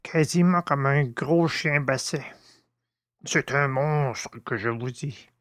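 A man playing a gruff, superstitious old servant who grumbles uneasily in rustic, exclamatory talk in an audiobook drama. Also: mid-range voice, takes it slowly, fairly bright tone, no echo, clean studio sound. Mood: agitated.

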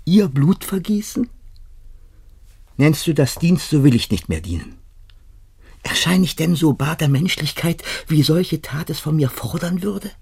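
A raspy, gravelly loyal servant in a classical radio play. He speaks slowly and declaims in torment, turning indignant at his master. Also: fairly high pitch, expressive, a little mellow, exaggerated voice. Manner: agonised, declamatory, swinging into whispers. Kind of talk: classical radio drama acting.

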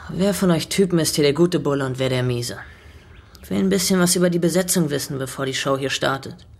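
A man's voice: a little high, brisk, vocal fry. An actor playing a defiant young detainee in a radio drama, sneering and streetwise in his talk. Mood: mocking. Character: cheeky, defiant young male juvenile detainee, mocking.

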